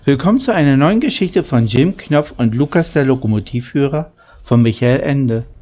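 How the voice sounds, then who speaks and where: A man reading a children's story aloud like a homemade audiobook, in a halting, deliberate way with small stumbles. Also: medium pitch, lively intonation, darkish tone. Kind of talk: amateur audiobook reading with chatty greeting.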